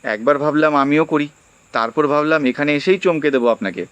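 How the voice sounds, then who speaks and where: A man's voice: a little high, expressive, a little fast, smooth, no room sound, dull audio. Young male delivery boy, shy, courteous and earnest, audio drama, close dry recording. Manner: deferential, earnest, softly polite. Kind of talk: audio horror story acting.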